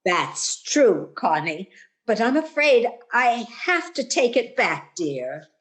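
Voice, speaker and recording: A woman's voice: on the high side, big pitch swings, slow. An actress playing a chatty, blithe older lady with an airy, sing-song delivery in a radio sitcom. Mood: apologetic. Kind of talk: 1940s radio sitcom acting.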